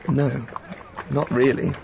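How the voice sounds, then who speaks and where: A reserved English diplomat. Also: male voice, mid-range voice, flat delivery, slow pace, gravelly, noisy background. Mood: polite. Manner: polite, hesitant, formal phrasing. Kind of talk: BBC radio drama acting.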